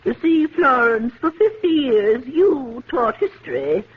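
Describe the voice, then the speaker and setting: A woman's voice: high-pitched, very animated, measured pace, husky, exaggerated voice. An actress playing a sensible elderly lady sleuth, a schoolteacherly type, in an old radio mystery. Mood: composed. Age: older. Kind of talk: 1950s radio mystery acting. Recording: muffled, noisy vintage radio recording.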